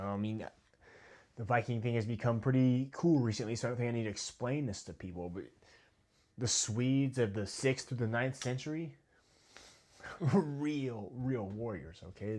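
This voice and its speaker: An American man speaks in a casual commentary monologue, his voice sitting a little low. He talks in a heated, halting way, restarting phrases and letting his volume sink suddenly. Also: a little mellow. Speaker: video commentator.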